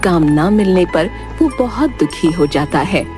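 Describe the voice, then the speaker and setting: A woman's voice: on the low side, lively intonation, a little slow. A woman reading scripted narration for a cartoon moral story. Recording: music behind the voice.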